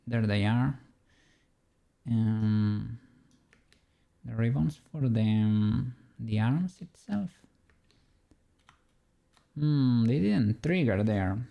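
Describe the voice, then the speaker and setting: A man's voice: medium pitch, vocal fry, warm tone. A man from Spain with a Spanish accent, chatting casually with his stream viewers while he works on an animation, musing aloud and laughing lightly. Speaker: streamer and 3D rigger. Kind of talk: casual livestream chat while working.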